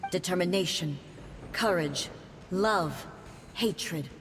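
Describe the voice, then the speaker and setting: An actress voicing a proud, unyielding warrior goddess ruler in a video game, speaking in a stately, formal, measured way. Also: female voice, fairly low pitch, slight background noise. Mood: proud, resolute.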